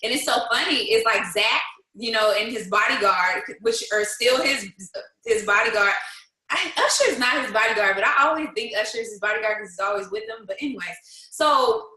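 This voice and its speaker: Bright bold woman in her 30s telling a story, laughs a lot, echoey room. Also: fairly high pitch, brisk. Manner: animated, laughs often, bold and emphatic. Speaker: guest, business leader. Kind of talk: casual storytelling, motivational.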